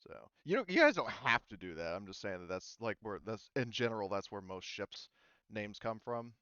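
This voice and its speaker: Smooth low-voiced man running a tabletop game. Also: sing-song, normal speed, sharp tone, no room sound, lo-fi sound. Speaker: game master. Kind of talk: casual group chat about a tabletop game.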